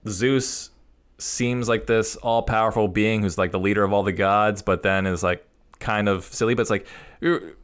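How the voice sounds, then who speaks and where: A man's voice: lowish voice, fairly even intonation, moderate pace, fairly bright tone, clean tone. A man co-hosting a podcast who criticizes a film in a hesitant way, full of "uh" and "like.". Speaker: podcast co-host. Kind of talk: casual film review chat.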